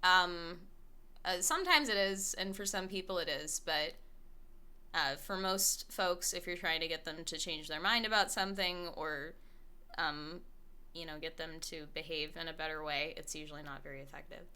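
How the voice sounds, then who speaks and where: Bright-voiced woman in profane chat. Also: moderate pace, smooth. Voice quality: small light voice without much bass. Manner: candid, profane, rambling, laughs often. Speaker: streamer. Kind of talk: casual chat with stream viewers.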